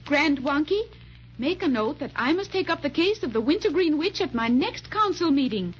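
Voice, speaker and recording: A woman's voice: sits high, sing-song, moderate pace. An actress playing a gracious, kindly, composed fairy-tale queen in 1930s radio style. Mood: regal. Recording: muffled, noisy 1930s radio transcription.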